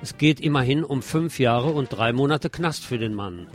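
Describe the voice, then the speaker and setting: A man's voice: mid-range voice, expressive, normal speed. A man presenting radio news from a script, with colloquial commentary mixed in, over music. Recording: music behind the voice.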